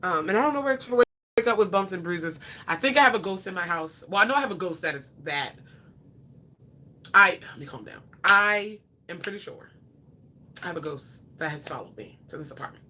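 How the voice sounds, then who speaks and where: A woman's voice: fairly low pitch, animated, a little fast, sharp tone. A woman in her twenties chatting casually with viewers and answering their questions, rambling with frequent ums and self-corrections. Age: 20s. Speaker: beauty vlogger.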